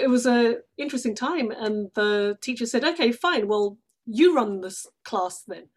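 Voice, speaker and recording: Woman explaining in an interview. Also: on the high side. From London, England. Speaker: interview guest, stage actress. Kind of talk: casual explanatory interview talk.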